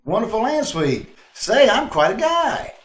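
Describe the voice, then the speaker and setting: A man playing an easygoing, obliging sleuth in an old-time radio drama, speaking in an affable, slightly halting way. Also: high voice, very animated, fairly bright tone, roomy sound, exaggerated voice. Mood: affable, flattered.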